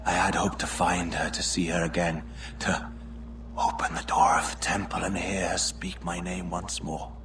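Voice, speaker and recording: An actor playing a theatrical, mocking, mystical pirate captain in a video game, weak and dying. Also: male voice, low-pitched, level tone, normal speed, background noise. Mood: dying, wistful.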